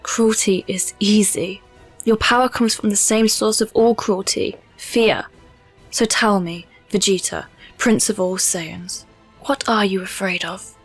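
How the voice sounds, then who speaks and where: Lady playing noble amazon warrior princess, firm n solemn, medium pitch, audio drama w soft music under it. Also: steady tone, a little slow, breathy edge, low-quality recording. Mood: stern, commanding.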